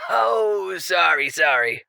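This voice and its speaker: A playful radio drama co-host who loves to tease. His voice is gravelly yet sharp, and he draws his lines out slowly. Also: sits high, big pitch swings, no echo, exaggerated voice. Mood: teasing, playful. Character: jovial male radio co-host, playful and teasing. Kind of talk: radio show banter, acted.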